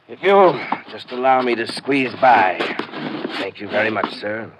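An actor playing a stout, elaborately polite gentleman in a 1950s radio drama, speaking slowly. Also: lowish voice, animated. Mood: unctuous. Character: courteous, very stout gentleman squeezing past. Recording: noisy old radio recording.

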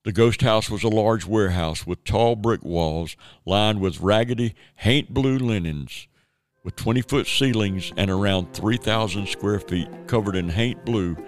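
Guy narrating a spooky radio play, lowish voice, music going underneath. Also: unhurried. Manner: dramatic storytelling.

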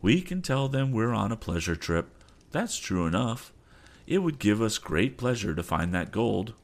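A man's voice: on the low side, sing-song, normal speed, voice pushed up. A man voicing a proud, mild-mannered but defiant sea captain in an audiobook, speaking emphatically. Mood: calm, guarded. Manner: indignant, emphatic protesting.

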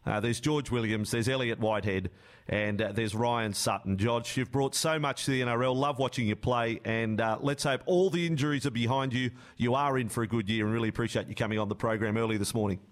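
Brief: male sports broadcaster, mid-pitched, emphatic and deliberate interviewer. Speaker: sports radio host.

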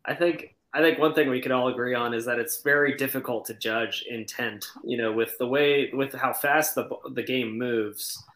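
A man's voice: medium pitch, fairly even intonation, moderate pace, few pauses, fairly bright tone. Hesitant man in an echoey room. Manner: hesitant, many "um"s and restarts. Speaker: podcast co-host. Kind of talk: casual sports debate.

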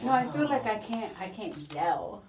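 A woman co-hosting a casual podcast with a smooth voice and slangy talk, slipping into phrases. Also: on the high side, big pitch swings, normal speed. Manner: slangy, switches into Spanish phrases. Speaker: podcast co-host. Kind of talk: casual bantering podcast chat. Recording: loud crowd chatter behind. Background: other people talking and recording.

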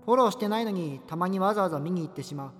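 Radio drama role: hesitant, earnest young culture geek, wordy and apologetic, over a music bed. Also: male voice, sits high, animated, rapid-fire, a bit bright. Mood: resigned narration. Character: awkward, earnest, apologetic subculture-obsessed young man. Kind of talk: naturalistic radio drama dialogue and monologue.

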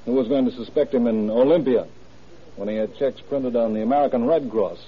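An actor playing a keen, authoritative police detective with snappy slang in an old radio crime drama. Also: male voice, mid-range voice, a little fast, husky, close to an everyday voice. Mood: brisk, decisive. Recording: muffled old radio recording.

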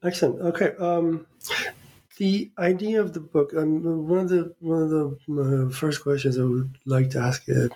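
A man hosting a podcast interview, asking questions haltingly with many fillers. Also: a little high, steady tone, dark tone. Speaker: podcast host.

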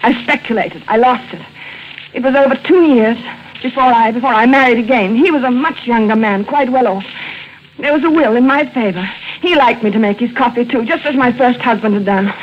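An actress playing a calm, sinister wife confessing to poisoning in a 1940s radio drama. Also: female voice, fairly high pitch, close to an everyday voice. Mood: coolly sinister. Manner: quiet, measured, coolly sinister. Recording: dull old radio broadcast with hiss.

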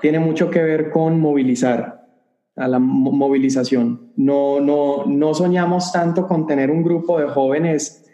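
A youth pastor from Colombia answering interview questions in an earnest, animated way. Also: male voice, on the high side, unhurried, muffled recording. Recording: roomy echo.

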